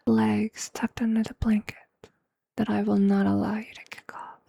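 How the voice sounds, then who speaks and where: A performer voicing a possessive, tender vampire lover in an intimate, breathy ASMR roleplay whisper, with a hushed, seductive and affectionate manner. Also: mid-range voice, level tone, dry recording, studio quality. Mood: possessive, fond. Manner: slow, hushed, seductive, affectionate, formal wording.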